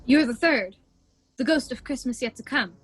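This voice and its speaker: A woman's voice: high-pitched, lively intonation, normal speed, husky. A woman playing a frightened miser in a radio play, pleading dramatically and emphatically. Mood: apprehensive. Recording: home video call recording with music.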